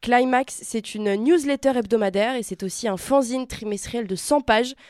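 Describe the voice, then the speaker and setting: A woman delivers a radio column in a somewhat high voice, very close and dry. She moves between prepared lines and conversational remarks with wry amusement. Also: moderate pace, darkish tone, no echo. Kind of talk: semi-scripted recommendation segment, conversational.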